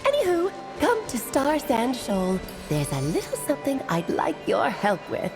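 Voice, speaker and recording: A playful, adventurous mother figure from a video game. She is theatrical and teasing, with very animated intonation and an unhurried pace. Long reverb and music surround the voice. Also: high-pitched, full character voice.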